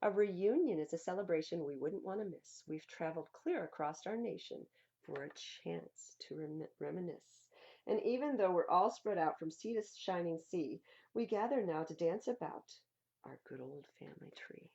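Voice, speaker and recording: A woman reading a picture book aloud at a library storytime in a playful, theatrical way, with a husky voice. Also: on the low side, expressive, normal speed, a little mellow, lo-fi sound, a little hiss or hum. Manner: playful, theatrical, stresses and repeats words for young listeners. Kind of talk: expressive read-aloud of children's picture books.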